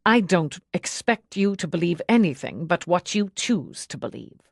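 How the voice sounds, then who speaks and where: A woman's voice: fairly low pitch, big pitch swings, moderate pace, vocal fry, no room sound, studio quality, full character voice. A woman voicing a stiff, proud, cold male servant in an audiobook, formal, clipped and bitterly protective. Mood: cold, guarded. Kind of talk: audiobook character dialogue.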